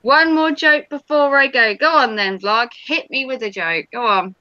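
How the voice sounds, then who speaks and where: Woman, English accent, bright tone, slightly high, teasing, casual chat. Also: moderate pace, no room sound, dull audio. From England, Norfolk. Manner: teasing, jokey banter. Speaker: vlogger hosting a live stream.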